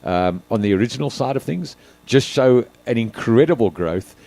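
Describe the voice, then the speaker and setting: A man's voice: mid-range voice, animated, moderate pace, creaky, dark tone, soft background music. A man co-hosting a live radio show, chatty and jokey. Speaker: radio co-host.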